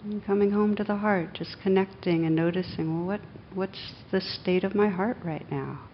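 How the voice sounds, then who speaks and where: A woman meditation teacher leading a guided meditation in a gentle, unhurried manner with long pauses, on a dull recording. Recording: dull, muffled sound with faint rumbling noise.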